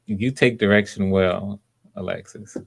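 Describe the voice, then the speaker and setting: A man's voice: on the low side, fairly even intonation, measured pace, creaky. A man hosting live auditions casually, coaching in an encouraging way and often repeating his words. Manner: encouraging, hesitant repetitions. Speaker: audition host.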